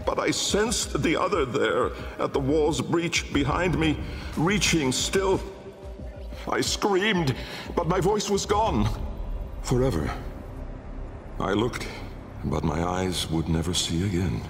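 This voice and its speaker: An actor playing an old, haunted, learned nobleman-scientist in a video game, delivering a grave, measured gothic monologue with a gravelly voice. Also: mid-range voice, animated. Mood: haunted, frantic. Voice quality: gravelly, creaky. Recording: long cavernous reverb under music.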